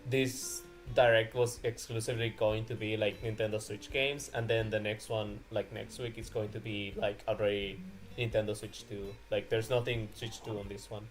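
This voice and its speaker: A man's voice: on the low side, flat delivery, normal speed, low-quality recording. A man hosting a live reaction stream, chatting casually and animatedly with friends. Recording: music playing behind the voice. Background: background music.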